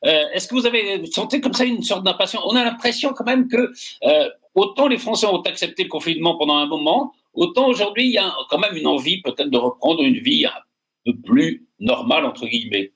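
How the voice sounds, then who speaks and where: Lively French man hosting a panel. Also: sits high, a little fast, breathy edge. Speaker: host. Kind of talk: panel discussion by video call.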